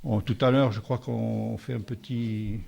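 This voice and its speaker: Gravelly-voiced mayor at a public meeting. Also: male voice, fairly low pitch, fairly even intonation, dark tone. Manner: halting, restarts, rounding figures loosely. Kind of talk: public meeting presentation and answers.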